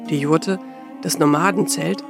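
High-pitched, creaky-voiced male radio narrator. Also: fairly even intonation, measured pace, music in the background. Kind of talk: scripted documentary narration.

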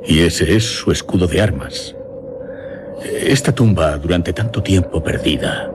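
An actor playing a solemn, eccentric baron on a monster hunt in a gothic radio drama, speaking slowly and declaiming. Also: male voice, sits low, music in the background. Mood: solemn triumph.

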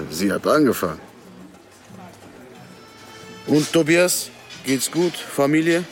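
A man's voice: on the high side, sing-song, slow pace, soft background music. A man playing an unruffled, sarcastic bar owner under suspicion in a radio drama, ironic and mock-innocent. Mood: provocative, amused. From Lebanon. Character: smooth-talking, sarcastic male bar owner, unruffled suspect.

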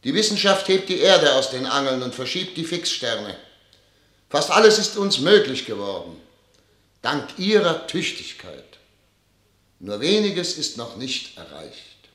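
A man's voice: mid-range voice, sing-song, a little slow, sharp tone. An actor playing a solemn, disillusioned ruler who addresses his ministers in a grand, rhetorical radio drama style. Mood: stern, oratorical. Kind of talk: theatrical radio drama oratory. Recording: echoey hall, reverberant studio radio drama.

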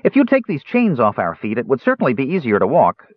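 A man voicing a small, cheerful and impulsive kender who chatters eagerly in a high voice. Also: huge pitch swings, no echo. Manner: high, bubbly, rapid and eager. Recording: dry tinny phone-like sound.

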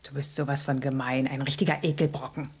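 A woman's voice: extremely low pitch, flat delivery, fast talker, darkish tone, slightly rough. A woman playing a touchy, sensual female cat in a radio drama, purring and sulky in a dramatic way. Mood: hurt, angry.

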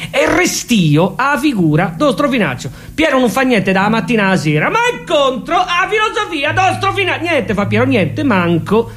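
Casting: male actor as obsessive Roman housewife with a murderous streak, high-pitched, Roman accent, repetitive stage-comedy delivery. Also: big pitch swings, normal speed, hardly any pauses, fairly bright tone, heavily acted. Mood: aggrieved, complaining. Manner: repetitive, fixated, swinging in emphasis. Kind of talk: theatrical comic monologue.

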